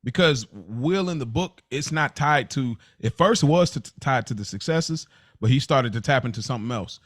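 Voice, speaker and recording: A man's voice: medium pitch, animated, moderate pace, a bit bright, no room sound, clean studio sound. An American man on a podcast panel, talking casually and reflectively, repeating phrases for emphasis.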